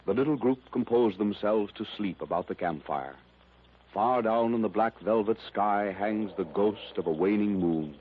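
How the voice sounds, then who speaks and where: A man's voice: lowish voice, normal speed, slight background noise. A man narrating a 1930s radio adventure serial in a solemn, scripted style. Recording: muffled old radio transcription.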